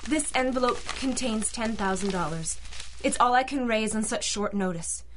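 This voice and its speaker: Actress as nervous noir client, genteel wealthy wife, higher-pitched, hesitant, formal radio-play delivery. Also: female voice, moderate pace, slight background noise, lightly acted, low-quality recording. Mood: confiding. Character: anxious, genteel wealthy wife hiring detectives. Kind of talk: old-time radio drama acting.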